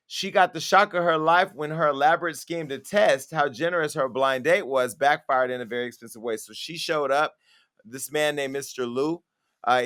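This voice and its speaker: A man's voice: on the high side, a bit bright. Chatty man hosting a talk show. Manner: chatty, quick asides, storytelling. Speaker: host. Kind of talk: casual talk-show chat.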